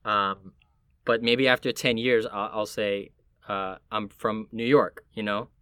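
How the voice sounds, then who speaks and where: A man from Miami with a Puerto Rican family, talking casually and reflectively, often halting as he searches for words. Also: on the low side, steady tone, bright, forceful tone, clean tone, dry recording, clean studio sound.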